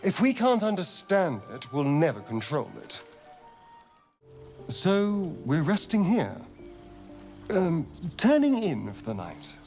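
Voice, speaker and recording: A man's voice: a little high, huge pitch swings, slow pace, pauses often, a little mellow, faint music behind, full character voice. An actor voicing a suave, sardonic male vampire magistrate in a video game, with an English accent and an arch, theatrical, evasive manner. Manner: arch, drawling, theatrical delivery. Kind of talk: video game character acting.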